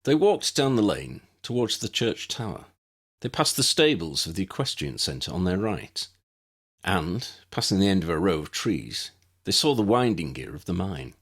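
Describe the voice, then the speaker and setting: A deep-voiced man narrates a story from a script. His pitch moves in lively sweeps, and the voice has a husky edge to it. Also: normal speed. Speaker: audiobook narrator.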